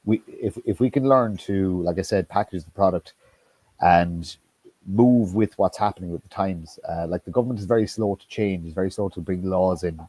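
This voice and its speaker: Man, very low and husky, dry close sound, stop-start blunt talker. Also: mellow, dry recording. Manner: halting, many restarts and ums, blunt with swearing. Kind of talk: casual political discussion.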